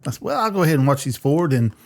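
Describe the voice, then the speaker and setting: A man's voice: on the high side, big pitch swings, very fast, vocal fry. A man speaking informally as a podcast co-host in a group chat. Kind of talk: casual chat.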